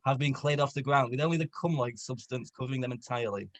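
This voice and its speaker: A man's voice: medium pitch, quick pace. A man reading a story aloud for comedy with dramatic delivery, stumbling and correcting himself. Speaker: co-reader on a comedy stream.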